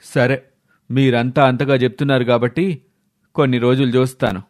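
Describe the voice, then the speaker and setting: A man's voice: medium pitch, fairly even intonation, moderate pace, a little mellow, natural voice. A man voicing a stern, grasping village moneylender in a dramatised moral story. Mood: grudging.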